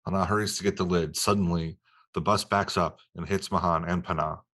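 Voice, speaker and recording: A man with a deep, low voice narrates a screenplay. He reads out scene descriptions and stage directions as scripted narration. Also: steady tone, a little fast, a bit bright.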